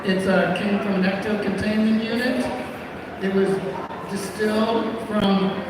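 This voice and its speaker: A high-voiced man rambles through a stand-up comedy set, full of self-mocking asides and filler pauses. He is from Florida but has no Southern accent. He sounds far from the mic, with a long echo in the room and steady room noise behind him. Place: comedy club stage. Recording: echoey room audio from a distant mic.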